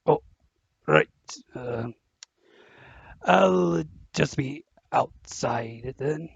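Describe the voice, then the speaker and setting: A man playing a big, loyal, anxious gamekeeper in an audio drama, blurting his words in a flustered, gravelly, husky voice. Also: mid-range voice, expressive, very slow, no echo, dull audio, heavily acted. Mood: sheepish.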